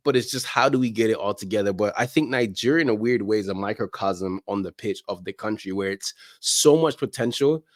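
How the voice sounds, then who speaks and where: A man's voice: fairly low pitch, brisk, few pauses, no echo, clean studio sound. A man chatting casually as an interview guest, emphatic and slangy, often repeating phrases to drive a point home. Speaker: guest, soccer podcast creator. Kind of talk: animated casual interview chat.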